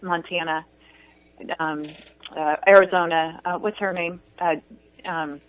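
A woman chatting as a guest on talk radio, halting, with lots of ums and restarts. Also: on the low side, steady tone, no echo. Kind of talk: casual talk radio chat. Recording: dull dry lo-fi studio sound.

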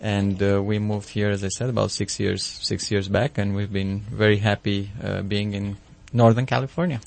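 A man in his thirties with a Romanian accent, a physician guest speaking haltingly in a casual radio interview, full of ums and restarts. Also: lowish voice, level tone, gravelly, darkish tone, slight background noise.

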